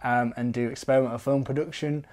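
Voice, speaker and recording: A man in his early twenties who works as a part-time lecturer, speaking in a measured, articulate way in a documentary interview. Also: medium pitch, fairly even intonation, a little mellow. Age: 20s.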